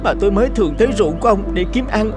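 A woman voicing a small, gentle bird in an animated folk tale, with a plaintive, pleading manner. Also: mid-range voice, racing, natural voice. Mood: sorrowful, reproachful. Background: music behind the voice.

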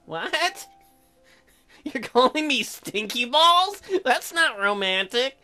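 A man's voice: very high-pitched, sing-song, slow pace, pushed and bright, soft background music, voice pushed up, full character voice. A man voicing an eager, crude young newlywed husband in a visual novel, goofy and awkward. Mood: surprised, tentative.

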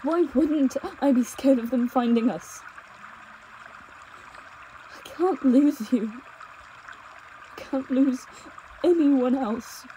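Casting: woman as devoted young runaway pastor's daughter, audio drama; slightly high, tender, emotive voice over faint water ambience. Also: dark tone, a little hiss or hum, poor audio. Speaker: audio roleplay performer. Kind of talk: intimate dramatic audio roleplay acting. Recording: nature ambience with trickling stream water. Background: outdoor ambience sound effects, running water.

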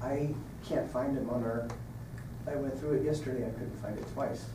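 A man in a training class asking the instructor practical questions in a quick, halting way. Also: mid-range voice, fairly even intonation. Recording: echoey room from far off, noisy.

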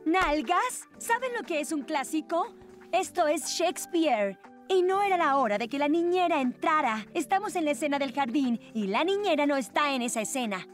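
Bossy bookworm teen girl, high voice, stern cartoon delivery, faint music. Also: expressive, moderate pace. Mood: indignant. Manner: stern, instructive. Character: bossy, bookish teenage girl, strict perfectionist. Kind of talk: cartoon voice acting.

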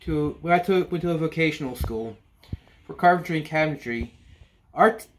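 A man in his forties reviewing hand saws in a cluttered workshop, talking slowly and rambling with restarts. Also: a little high, a little hiss or hum, rough recording. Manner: slow, rambling, with frequent restarts and "you know". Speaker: woodworking channel host. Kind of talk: casual workshop tool review. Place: cluttered indoor workshop or basement.

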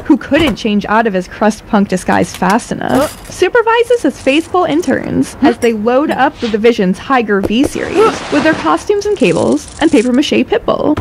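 A woman's voice: high voice, a little hiss or hum. A woman narrating a scripted audio drama.

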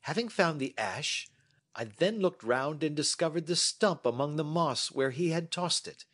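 Man voicing a Victorian detective, precise, self-assured, lecturing, fairly high voice, lively pitch. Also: normal speed, husky. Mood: reasoning. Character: brilliant, confident Victorian male detective.

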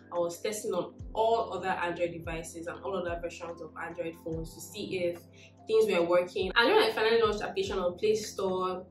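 A young woman from Nigeria talks straight to the camera in a relaxed, upbeat storytelling style, urging viewers on. Her voice sits a little low. The sound is roomy, with faint music underneath. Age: young adult. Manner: upbeat, encouraging, gestures while talking. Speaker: tech vlogger. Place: indoor living room.

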